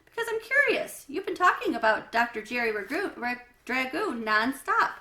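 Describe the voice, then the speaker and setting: A woman voicing a casual, candid, curious schoolboy in a storybook, informal and quick to protest. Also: high voice, very animated, pushed and bright, full character voice. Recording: roomy, echoey room sound.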